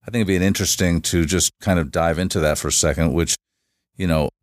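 A man with a very low voice talks as a guest on a podcast. He explains at length in a halting way, full of restarts and fillers. The sound is dry, with no room echo. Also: fairly even intonation. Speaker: guest, shamanic facilitator. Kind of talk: casual podcast interview.

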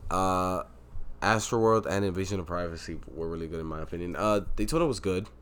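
A young man co-hosting a podcast, teasing and arguing loudly about sports in casual chat. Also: low-pitched, fairly quick, clean tone. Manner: teasing, argumentative, gets loud and excited arguing sports. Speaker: podcast co-host.

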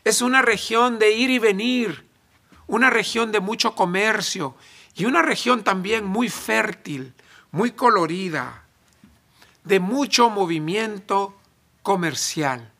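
High-pitched man preaching slowly. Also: sharp tone. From El Salvador. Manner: deliberate phrasing with emphatic pauses. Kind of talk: sermon.

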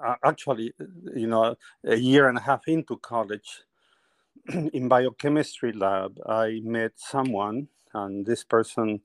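A man's voice: mid-range voice, a little slow, no echo. A middle-aged man from Peru, a painter telling his life story as a guest in a conversational interview, often laughing as he talks.

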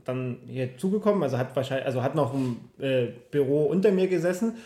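A man's voice: medium pitch, expressive, fast, mellow. A man in his thirties chatting casually as a guest and telling personal stories. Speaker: podcast guest. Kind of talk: casual chat, personal storytelling. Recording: roomy, echoing room.

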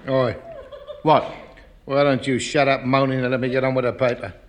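An actor playing a gruff, impatient man who snaps at others to stop moaning, in a 1950s radio sitcom. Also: mid-range voice, little pitch movement, normal speed, a little hiss or hum, close to an everyday voice. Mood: irritated. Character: gruff, impatient middle-aged man reading the paper.

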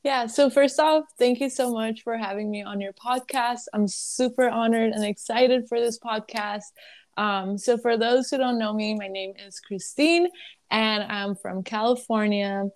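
Young woman, lebanese accent, fairly high voice, relaxed chatty guest, laughs a lot. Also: unhurried. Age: 20s. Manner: chatty, laughs between phrases. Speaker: guest, content creator. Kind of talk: casual conversational interview.

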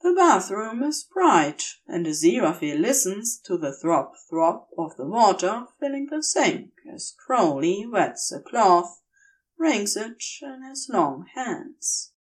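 A woman narrating fiction in a gentle, measured, expressive storytelling style. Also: mid-range voice, distant mic, low-quality recording. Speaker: fan fiction audiobook reader.

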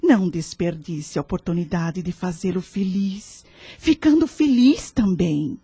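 An actress playing a wise, serene woman who gives kindly spiritual counsel in a radio drama, speaking in a measured, earnest, preachy way. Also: fairly low pitch, expressive, dark tone, husky, no echo, heavily acted. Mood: gently persuasive.

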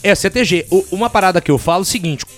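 Man, Minas Gerais accent, podcast co-host, high-pitched, easygoing explainer, faint music underneath. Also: racing, fairly bright tone. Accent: Minas Gerais Portuguese. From Brazil. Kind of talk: casual podcast chat.

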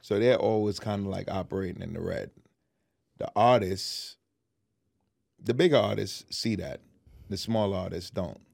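A lower-pitched man talking into a close studio mic. The sound is dry and close. He co-hosts a casual podcast and speaks bluntly, with swearing dropped in here and there. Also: moderate pace, vocal fry, pauses often, darkish tone, no room sound. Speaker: podcast co-host.

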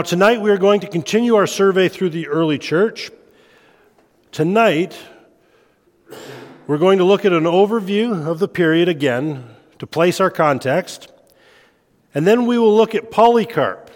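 Guy with a stuffy head cold teaching church history, slightly high voice, big echoey hall. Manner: relaxed, self-deprecating, quick to laugh. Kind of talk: informal lecture at a church meeting. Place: church meeting room. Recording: long hall reverb.

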